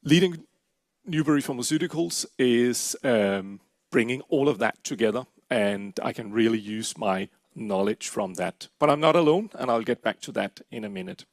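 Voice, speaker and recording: Man, middle-aged, Danish accent, gravelly edge, dry recording, businesslike presentation. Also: mid-range voice, moderate pace, no echo. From Denmark. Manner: businesslike, steady with frequent uh fillers. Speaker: company presenter. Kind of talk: investor presentation.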